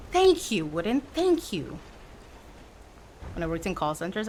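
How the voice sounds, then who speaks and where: A woman in her thirties, a streamer chatting casually with her viewers and telling animated stories. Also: lowish voice, a little hiss or hum. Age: 30s. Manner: chatty storyteller, animated asides. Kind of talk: casual chat with live chat.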